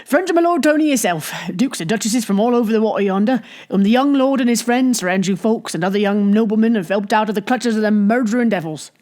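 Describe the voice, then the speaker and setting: Woman reading as hearty innkeeper guy, rural english dialect, bit higher, punchy and opinionated. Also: lively intonation, quick pace, hardly any pauses, darkish tone, lightly acted. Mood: indignant. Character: bluff good-humoured middle-aged male innkeeper.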